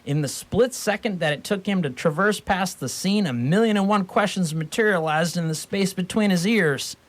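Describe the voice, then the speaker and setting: A man's voice: high-pitched, moderate pace. A man narrating a scripted comic radio story in a wry, mock-formal way.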